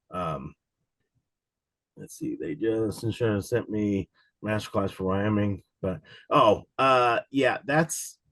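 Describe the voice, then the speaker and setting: Guy a bit raspy from a cold, lowish voice, dry close sound, chatty rambling instructor on a video call. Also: no room sound. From Cincinnati, USA. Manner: casual, rambling, many fillers and restarts. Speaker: insurance exam instructor. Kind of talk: live online study session.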